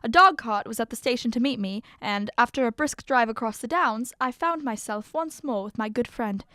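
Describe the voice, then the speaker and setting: Woman as nostalgic older gentleman, loyal, genteel phrasing, lightly high pitch, dry sound, audio drama. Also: moderate pace, no room sound. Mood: wistful. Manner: genteel, old-fashioned phrasing. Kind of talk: audio drama acting with first-person narration.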